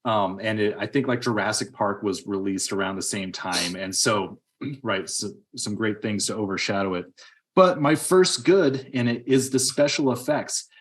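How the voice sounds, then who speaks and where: A man in early middle age co-hosting a podcast, talking casually about films in a halting, rambling way. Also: lowish voice. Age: 30s or 40s. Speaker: podcast co-host. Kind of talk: casual film discussion.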